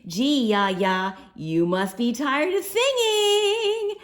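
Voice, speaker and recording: A woman's voice: high voice, expressive, very slow, pitched above the performer's own voice, heavily acted. A woman voicing an earnest, easily embarrassed young schoolgirl in a storybook, with a pleading manner.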